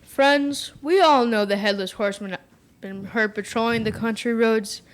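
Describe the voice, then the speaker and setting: A woman's voice: fairly high pitch, moderate pace, lightly acted. A young female performer playing a boastful, fearless young village rider with a cocky manner in a radio play. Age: young teen. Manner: cocky, challenging. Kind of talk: stage radio play acting.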